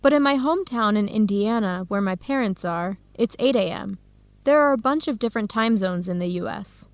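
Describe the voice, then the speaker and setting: A woman playing a determined young American woman in an English-learning radio drama, speaking in clear, simple scripted sentences with an American accent. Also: medium pitch, animated, a little fast, dry recording, lightly acted. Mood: friendly, at ease. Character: Helen, determined young American woman searching for birth family.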